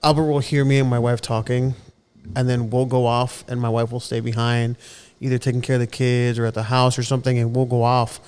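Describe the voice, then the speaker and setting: An earnest man in his thirties, speaking at a middle pitch in a loose podcast conversation. He often doubles words as he works out what to say, and his tone is open and sincere. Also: little pitch movement, a little fast, few pauses, darkish tone, smooth. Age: 30s. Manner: earnest, repeats words as he gathers his thoughts. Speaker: podcast co-host. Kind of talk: casual heartfelt chat.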